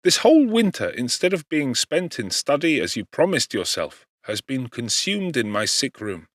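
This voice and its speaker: A man voicing an overwrought, fervent young gentleman scientist in an audiobook, speaking in a formal, impassioned way. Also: medium pitch, big pitch swings, moderate pace, no room sound, clean studio sound. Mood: grateful, remorseful.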